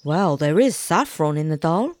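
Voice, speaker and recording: A woman's voice: deep voice, expressive, normal speed, a little mellow. A woman voicing a poor, crafty woodman who loves his food, confiding and wheedling in a storybook tale. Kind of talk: storybook character voice.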